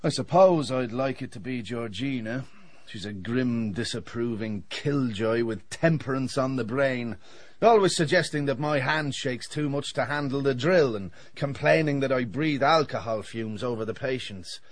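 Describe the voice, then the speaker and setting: A roguish, hard-drinking dentist played by a man in a radio play. He is talkative and sardonic, with a medium-pitched voice recorded close and dry. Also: normal speed, no room sound, natural voice. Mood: wry, teasing. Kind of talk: radio drama acting.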